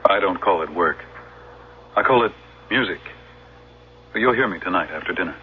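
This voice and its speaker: A man's voice: sits low, animated, normal speed, slightly rough, exaggerated voice. An actor playing a laconic, slyly ominous man who entertains at a resort, terse and knowing, in a radio drama. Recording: muffled noisy old radio recording.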